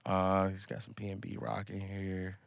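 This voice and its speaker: A young man with a deep voice, hosting a casual podcast chat full of slang. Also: moderate pace, vocal fry, no echo, clean studio sound. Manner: relaxed slang, says "bro" constantly. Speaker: podcast host.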